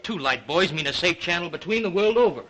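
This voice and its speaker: A man's voice: high voice, lively intonation, fast, fairly bright tone, a little hiss or hum. An actor playing a wealthy male yacht owner in 1930s film style, stubborn, breezy and dismissive of danger.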